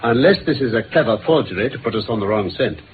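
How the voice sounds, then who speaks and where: Through a narrow, noisy vintage radio recording comes a man acting a brisk British detective. His delivery swings widely in pitch, precise and theatrical, full of shrewd self-assurance, as in a 1950s radio play. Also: expressive, close to an everyday voice. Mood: musing, assured. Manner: precise, theatrical, deductive pronouncing.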